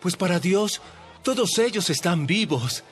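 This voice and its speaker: A man's voice: sits high, expressive, unhurried, soft background music. A man playing an authoritative religious teacher in a dramatized audio Bible, instructing followers in a didactic, emphatic way. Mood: firm, correcting.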